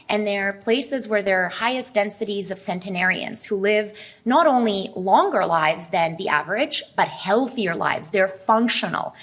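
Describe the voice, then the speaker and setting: A woman's voice: medium pitch, fairly bright tone. Woman giving an earnest talk. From Serbia. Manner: earnest, explanatory, asks the audience questions. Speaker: speaker at a health expo. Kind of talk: presentation talk to a live audience.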